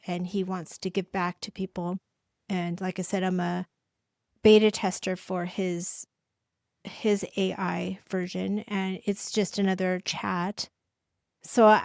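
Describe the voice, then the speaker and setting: A woman's voice: medium pitch, creaky, a little mellow, no room sound, studio quality. A middle-aged woman who works as a coach, talking casually to her viewers with many restarts and fillers. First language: English. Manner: halting, with frequent restarts and fillers. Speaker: coach and channel host. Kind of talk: casual talk to camera.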